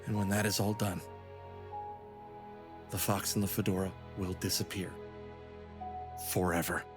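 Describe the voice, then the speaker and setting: A man's voice: deep voice, flat delivery, unhurried, music in the background. A man playing an earnest, loyal fox adventurer in an audio drama. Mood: solemn resolve.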